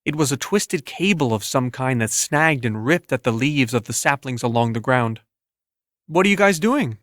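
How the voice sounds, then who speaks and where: A man's voice: medium pitch, moderate pace, fairly bright tone, no echo. Male narrator for literary first-person audiobook fiction, recorded dry and close.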